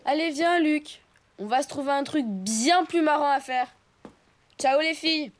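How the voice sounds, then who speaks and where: A woman's voice: sits high, measured pace. A woman playing a cocky, bored young elephant boy with a dismissive, slangy way of talking in a cartoon dub. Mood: bossy. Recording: music behind the voice.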